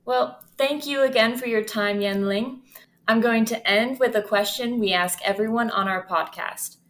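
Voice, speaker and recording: A woman's voice: on the high side, moderate pace, a bit bright. A woman from Ohio hosting a podcast, talking in a friendly conversational way. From Ohio, USA. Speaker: podcast host. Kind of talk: casual interview.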